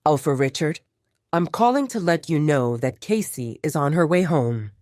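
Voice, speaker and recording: A woman's voice: deep voice, animated, normal speed, a little mellow, no echo, studio quality. A woman voicing a commanding male werewolf pack leader, stern and authoritative, in a romance audio drama. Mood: cold authority.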